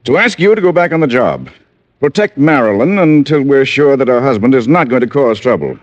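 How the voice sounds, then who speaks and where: Actor as formal attorney, expressive, vintage radio. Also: male voice, mid-range voice, no echo, close to an everyday voice. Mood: earnest, concerned. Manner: formal, measured. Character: polite, earnest male attorney. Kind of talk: 1940s-50s radio drama acting. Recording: dull, dry old radio recording.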